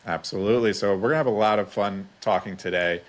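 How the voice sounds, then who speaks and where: A man with a low voice hosts a podcast interview. He introduces and questions in a conversational style. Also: quick pace.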